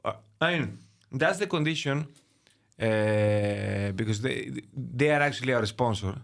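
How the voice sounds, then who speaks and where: A man hosting a podcast, chatting with his guest in a friendly, teasing way, with halting phrasing. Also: mid-range voice, lively intonation, gravelly. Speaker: podcast host. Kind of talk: casual interview chat.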